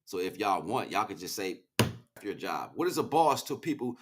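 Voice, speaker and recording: A man's voice: deep voice, very animated, moderate pace, noisy background. A man hosting a casual livestream, talking in a slangy, rambling, preachy way as he chats back to viewers. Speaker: livestream host. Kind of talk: casual impassioned livestream monologue.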